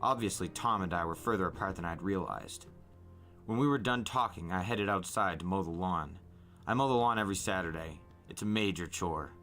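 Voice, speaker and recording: A man narrating a first-person novel aloud in an expressive storytelling way. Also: low voice, a little fast, soft background music. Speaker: podcast host reading a novel aloud. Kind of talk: first-person audiobook-style narration with acted dialogue.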